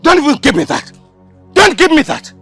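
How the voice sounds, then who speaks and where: An actor playing a betrayed, furious young man who shouts accusations at his friend. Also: very high-pitched, wildly swooping pitch, normal speed, vocal fry, soft background music, heavily acted. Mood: heartbroken.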